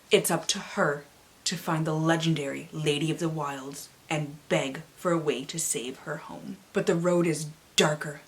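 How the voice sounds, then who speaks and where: A North American woman hosting a book vlog in a playful, theatrical manner, mixing casual chat with dramatic readings and asides. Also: sits low. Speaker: book channel host. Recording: clearly audible background hiss.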